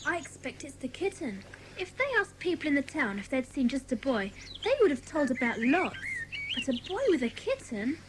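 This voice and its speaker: A woman acting an excitable, cheeky young girl in a dramatised children's audiobook, eager and exclamatory. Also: sits high, normal speed, noisy background. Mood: chatty.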